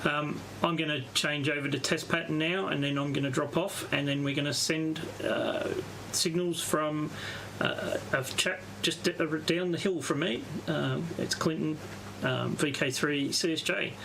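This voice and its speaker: A man, an amateur radio operator giving a casual, halting, unscripted walkthrough on a live stream. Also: a little high, fairly even intonation, vocal fry, noisy background. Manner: halting, unscripted, thinking aloud. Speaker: amateur radio operator presenting his station.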